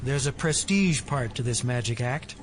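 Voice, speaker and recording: A man's voice: mid-range voice, expressive, moderate pace, slight background noise, close to an everyday voice. A man playing an earnest, precise tech geek in an audio drama. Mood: intrigued. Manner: precise, technical.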